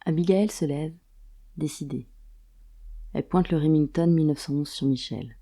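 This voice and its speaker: A woman narrating a scripted audio drama in a deliberate, wry storytelling manner. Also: deep voice, dark tone.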